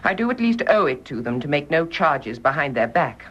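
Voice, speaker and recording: A woman's voice: deep voice, very animated, moderate pace, a bit bright, slight background noise. A woman with a British accent playing a grave, stubborn, wounded nurse in a radio drama, speaking in a clipped, formal, emphatic way. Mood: resolute, formal. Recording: dull, muffled radio drama recording.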